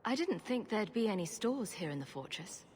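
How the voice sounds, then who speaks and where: An actress playing a guarded young refugee mage in a video game, with a measured, questioning way of talking. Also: female voice, mid-range voice, big pitch swings, a little mellow, big-room sound, a little hiss or hum, close to an everyday voice. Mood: inquiring. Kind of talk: video game dialogue acting. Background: music.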